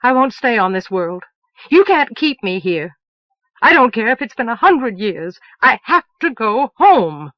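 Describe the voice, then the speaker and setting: A high, loud young woman pours out every line in a rush of feeling, as a woman narrator voices her. The recording is dry and a little dull, with no room sound at all. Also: sing-song, a little slow, no room sound. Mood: furious. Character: young woman, impulsive and desperate.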